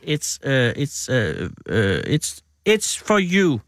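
A man hosting a casual interview in English, his second language, talking haltingly with many fillers and restarts. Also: mid-range voice, huge pitch swings, a bit bright, dry recording, studio quality. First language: Danish. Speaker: radio host.

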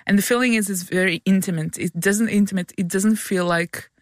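A woman's voice: medium pitch, steady tone, brisk, no echo, studio quality. A pregnant woman in her thirties from Israel, with an Israeli accent and Hebrew as her first language, chatting as a guest in hesitant English. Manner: hesitant, searching for English words. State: heavily pregnant. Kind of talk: casual chat.

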